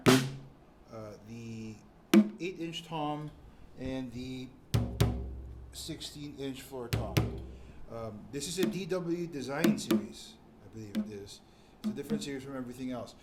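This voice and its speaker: A man talking casually as a musician hosting a vlog, rambling and often repeating or correcting himself. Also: mid-range voice. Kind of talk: casual storytelling and drum kit tour. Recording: clear background noise and room ambience.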